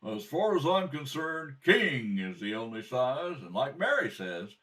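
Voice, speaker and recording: A man's voice: mid-range voice, very animated, fairly bright tone. A man playing an upbeat 1950s radio announcer delivering an emphatic cigarette advertisement. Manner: emphatic, drawn-out salesmanship. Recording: slightly distant home microphone.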